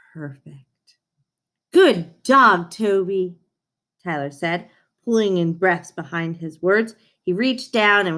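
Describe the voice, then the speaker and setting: A woman reading a children's storybook aloud in an expressive manner, addressing young listeners. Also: lowish voice.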